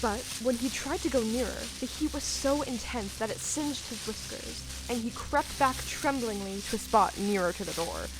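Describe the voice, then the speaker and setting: A woman's voice: a little high, normal speed, few pauses, background noise. A woman narrating a classic storybook tale as the storyteller of an audio play, in scripted style.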